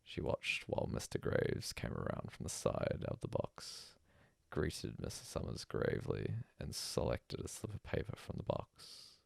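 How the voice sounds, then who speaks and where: A man's voice: very deep voice, huge pitch swings, a little slow, vocal fry, no echo, studio quality. A man reading a scripted bedtime story aloud as a narrator.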